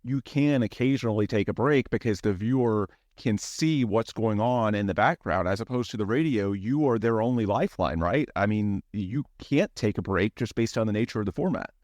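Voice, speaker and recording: Low-voiced host asking questions. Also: male voice, darkish tone, dry recording. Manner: measured, asks leading questions. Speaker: podcast host. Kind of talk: casual podcast chat.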